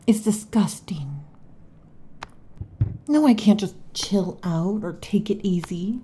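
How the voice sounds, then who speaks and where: A woman voicing a restless, irritable female snake-tailed lamia in an ASMR roleplay, huffy and dramatic, often slipping into soft whispers. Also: mid-range voice, lively intonation, slow, slight background noise. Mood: exasperated.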